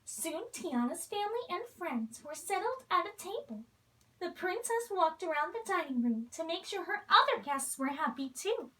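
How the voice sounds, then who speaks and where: A woman reads a children's story aloud in an expressive storybook style, playing the role of a princess. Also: very high voice, recorded from a distance, low-quality recording.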